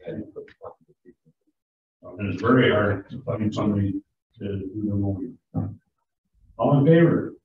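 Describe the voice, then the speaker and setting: A man chairing a town board meeting, briskly reading resolutions and dollar figures in a matter-of-fact way. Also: lowish voice. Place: large town hall meeting room. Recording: distant echoey hall audio, thin phone-like sound, faint hiss.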